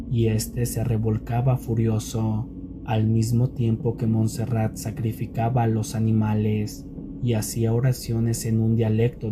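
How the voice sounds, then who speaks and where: A man reading scripted scary stories as a narrator, in a measured and deliberate storytelling manner. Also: fairly low pitch.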